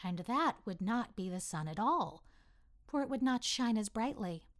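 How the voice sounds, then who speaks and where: A woman playing a kindly, wise and amused God who explains things gently and deliberately in a children's story. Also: a little high, animated, lightly acted. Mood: patient, explaining.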